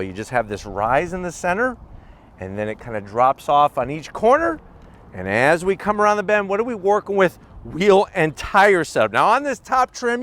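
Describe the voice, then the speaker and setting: A man's voice: high-pitched, a bit bright. A man hosting a walkaround car review outdoors, talking to camera in a punchy, colloquial way with rhetorical questions and catchphrases. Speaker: car review video host.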